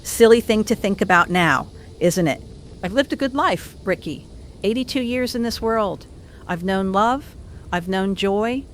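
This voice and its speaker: An elderly woman in her eighties , played by an actor, speaks with frightened resolve. She is wistful and halting, as in a radio drama call, with a faint noise behind her. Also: medium pitch, close to an everyday voice. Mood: resigned, defiant. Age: 80s. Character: frightened elderly widow, resolute, calling as creatures break in. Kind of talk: audio drama phone-in monologue.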